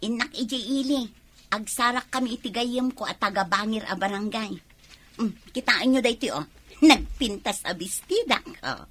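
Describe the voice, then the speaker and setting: A woman's voice: fairly high pitch, lively intonation, normal speed, a bit bright. A woman with an Ilocano accent playing a vain, brazen young woman who shrugs off her debts in a radio drama. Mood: flippant. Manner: defiant, flippant.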